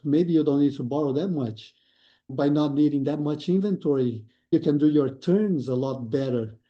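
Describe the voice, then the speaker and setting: Male guest, thoughtful and explanatory, very dark tone, lo-fi audio. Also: a little high. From Brazil. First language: Portuguese. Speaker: guest, lean consultant. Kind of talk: podcast interview.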